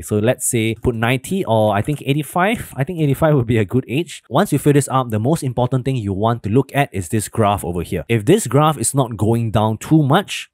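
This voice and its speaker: A young man talking through a spreadsheet tutorial step by step, conversational, often adding a quick "right?" at the end of a point. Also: fairly low pitch, a little mellow, no room sound, studio quality. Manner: explains step by step, quick and conversational with tag questions. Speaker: finance educator. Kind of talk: screen walkthrough tutorial.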